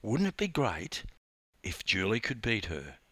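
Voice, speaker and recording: A man's voice: lowish voice, huge pitch swings, measured pace, bright, forceful tone, no room sound, studio quality, exaggerated voice. A man voicing a proud, snappish woman champion in a narrated story. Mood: inwardly resentful. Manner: snappish, brooding. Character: proud, irritable woman swimming champion losing her records.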